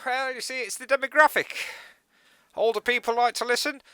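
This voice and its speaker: Man playing a high, bright, fussy radio producer. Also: steady tone, clear, resonant tone, dry recording, studio quality. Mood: awkward, tactful. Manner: apologetic, halting, over-explaining. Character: polite, awkward radio producer, tactful and fussy. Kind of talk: radio comedy sketch dialogue.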